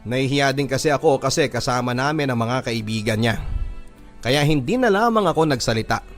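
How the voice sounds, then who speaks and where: Filipino man narrating over clear background music. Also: mid-range voice, lively intonation, fairly quick. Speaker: radio host reading a listener's love story. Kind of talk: scripted first-person narration, dramatic storytelling.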